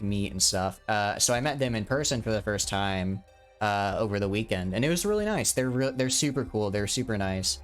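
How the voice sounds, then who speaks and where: A young man in his twenties, live-streaming a game and chatting casually, rambling with lots of restarts and fillers. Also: fairly low pitch. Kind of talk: casual live-stream chat while gaming.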